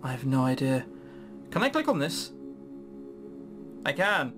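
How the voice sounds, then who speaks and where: Animated, sardonic gaming commentator. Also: mid-range voice, a little slow, music in the background. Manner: sardonic, incredulous, sweary. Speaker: gaming video host. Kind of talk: casual let's play commentary, reading game dialogue aloud.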